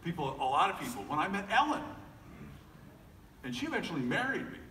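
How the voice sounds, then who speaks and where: A man's voice: high voice, sing-song, fairly quick, pushed and bright. A middle-aged man, a voice actor, talking casually at a convention panel in a joking, self-deprecating way with lots of fillers and restarts. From United States. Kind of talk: casual panel talk to an audience. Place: large convention hall. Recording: echoey hall recording from far off, faint noise.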